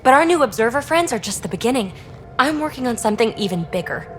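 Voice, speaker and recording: A woman playing an excitable, informal, geeky young scientist in a video game. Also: a little high, fast, a little hiss or hum. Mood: eager. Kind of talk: video game dialogue acting.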